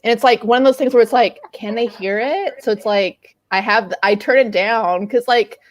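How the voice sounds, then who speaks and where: A woman's voice: on the high side, normal speed. A woman chatting casually on a book panel, her sentences broken up with lots of "like". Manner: hesitant phrasing with frequent "like". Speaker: livestream book chat panelist. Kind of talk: casual chat.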